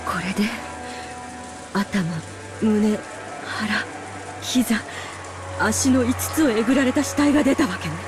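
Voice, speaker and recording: An actress playing a headstrong middle-aged aunt, curt and insistent, in an anime visual novel. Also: female voice, on the high side, lively intonation, normal speed, long pauses, over music, lightly acted. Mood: cold, ominous.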